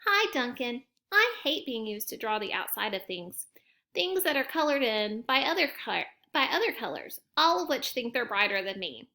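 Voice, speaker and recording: A woman's voice: on the high side, sharp tone, full character voice. A woman voicing a slighted, grumbling crayon who wants fairness, in an aggrieved children's storybook read-aloud.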